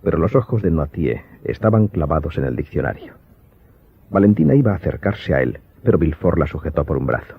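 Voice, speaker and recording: Deep-voiced male radio drama narrator. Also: sing-song, fairly quick, gravelly, slightly rough, dry recording. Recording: muffled, narrow-band audio with faint music.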